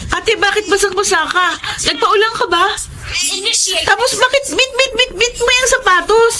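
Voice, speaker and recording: An actress playing a carefree, wheedling college-age younger sister with a Filipino accent in a Tagalog radio drama. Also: female voice, extremely high pitch, normal speed, noisy background. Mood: startled, defensive. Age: young adult.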